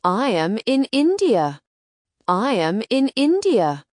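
A woman reading lines and repeating words for an English textbook, slowly and carefully. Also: on the high side, very animated, a little mellow, no echo, studio quality. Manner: slow, careful pronunciation for learners. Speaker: textbook dialogue reader. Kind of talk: scripted textbook dialogue.

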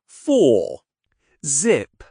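A woman narrating English textbook audio, announcing unit titles, numbers and instructions slowly and clearly. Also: a bass voice, wildly swooping pitch, mellow, dry recording, clean studio sound. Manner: slow, clearly enunciated announcing.

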